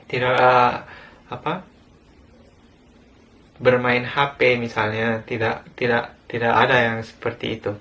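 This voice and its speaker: Indonesian guy, young, earnest, halting at times, mid voice, faint noise behind. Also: medium pitch, a bit bright. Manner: earnest, plain, with hesitations. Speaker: guest speaker living abroad. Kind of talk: recorded video talk.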